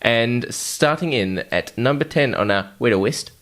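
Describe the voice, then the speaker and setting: A man's voice: mid-range voice, animated, measured pace, fairly bright tone. A man co-hosting a podcast in casual chat, with informal, sweary banter. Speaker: podcast host.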